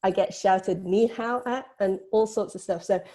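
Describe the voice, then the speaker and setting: A woman's voice: mid-range voice, normal speed, dark tone. A woman from Vietnam who grew up in Britain, speaking informally and reflectively on a panel, often hedging her words. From born in Vietnam, grew up in Britain. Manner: hedging, frequent "you know" and "kind of". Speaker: film producer on a panel.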